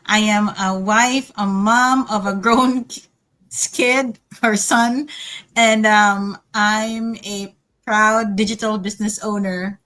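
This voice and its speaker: A woman from the Philippines, a nurse, calmly introducing herself on a call. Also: mid-range voice, takes it slowly. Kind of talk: short self-introduction.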